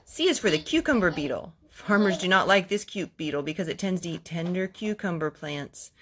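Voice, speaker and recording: A woman's voice: fairly low pitch, lively intonation, fairly quick. A woman, a mother, doing a children's storytime, reading aloud and chatting with questions for the young listeners. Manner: asks the child questions and repeats answers back. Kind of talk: children's read-aloud storytime with chat and song.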